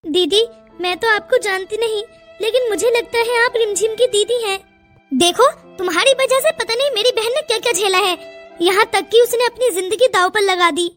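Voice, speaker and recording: Woman as a bossy, high-voiced elder sister. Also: moderate pace, fairly bright tone, faint music behind. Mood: indignant, bossy. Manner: scolding, commanding. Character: bossy, protective elder female cousin, stern and indignant. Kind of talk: melodramatic cartoon story acting.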